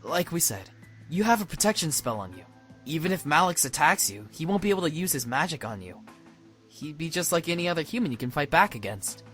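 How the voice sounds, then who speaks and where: A man's voice: fairly high pitch, big pitch swings, a little fast, a bit bright, soft background music. A man voicing an earnest young demon in a visual novel, explaining things emphatically and reassuringly.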